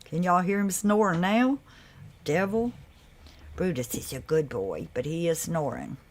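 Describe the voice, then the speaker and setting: A woman hosting a live stream in a loose, chatty way, with a deep voice that carries. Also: lively intonation, measured pace. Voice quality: deep, carrying voice. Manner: loose and chatty, with laughs and asides to viewers. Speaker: livestream host. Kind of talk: casual live chat.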